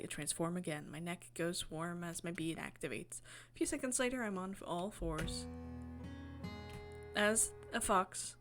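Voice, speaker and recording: A woman streaming a visual novel, reading the narration aloud in a casual way with her own commentary. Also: lowish voice. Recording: game music behind the voice. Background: guitar game music.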